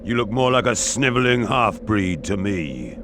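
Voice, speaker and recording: A man's voice: lowish voice, sing-song, measured pace, noisy background. An actor playing a stern, proud warrior king in a video game, brooding, commanding and scornful. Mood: scornful, threatening. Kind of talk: video game cutscene acting.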